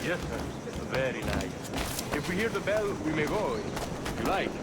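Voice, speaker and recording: A man's voice: a little high, very animated, moderate pace, very noisy. A man playing an obsequious, nervous Spanish underling in a video game, with a Spanish accent and deferential, hesitant speech. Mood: eager to please. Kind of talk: video game dialogue acting. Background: loud music.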